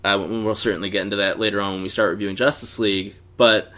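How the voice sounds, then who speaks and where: A man's voice: on the low side, little pitch movement, quick pace, a bit bright. A man co-hosting a podcast, giving opinionated critique in a casual, conversational review style. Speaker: podcast co-host reviewing animated series.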